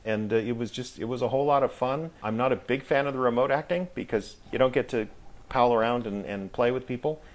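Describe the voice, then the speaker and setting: A middle-aged American man reminiscing casually as a guest in an interview, speaking in a reflective, halting way. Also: medium pitch. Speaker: guest, audio drama actor.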